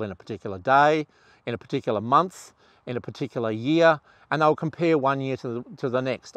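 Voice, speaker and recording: An older man, an ornithologist talking to camera outdoors on a lapel mic in a relaxed, conversational way with fillers. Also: medium pitch, no room sound. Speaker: ornithologist and presenter. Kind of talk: explainer talk to camera. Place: outdoors among trees.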